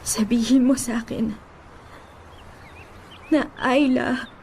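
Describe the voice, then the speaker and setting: A woman's voice: a little high, fairly even intonation, slow, creaky, a little mellow, a little hiss or hum. A woman acting a heartbroken young woman in a radio drama, pleading tearfully and desperately with her ex-lover. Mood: desperate, hurt. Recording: music under the voice.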